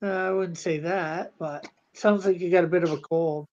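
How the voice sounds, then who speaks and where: A man's voice: high voice, steady tone, moderate pace, a little mellow. Chatty man on a dull lo-fi stream. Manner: chatty, laughs often, trails off mid-word. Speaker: livestream co-host reading chat. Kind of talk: casual livestream chat.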